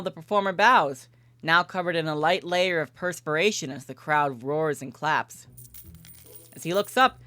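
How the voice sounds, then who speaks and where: A woman's voice: fairly low pitch, a bit bright, no room sound. A woman livestreamer reading a visual novel aloud and commenting casually.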